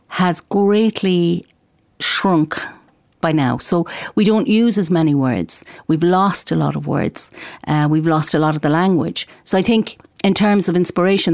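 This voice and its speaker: A woman from Ireland, an author earnestly explaining her book with thoughtful pauses and hesitations. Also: lowish voice, darkish tone, no echo, studio quality. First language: Irish.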